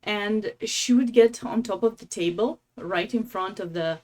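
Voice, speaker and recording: A woman's voice: mid-range voice, a little slow, darkish tone. A woman from Romania with a Romanian accent, giving casual, chatty live game commentary as a streamer, with affectionate asides.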